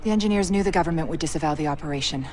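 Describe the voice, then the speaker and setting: Woman playing a fast-talking, low-toned intelligence officer. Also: darkish tone, close to an everyday voice. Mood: brisk, composed. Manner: businesslike, matter-of-fact. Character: brisk, composed female intelligence operations officer. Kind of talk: video game cutscene acting.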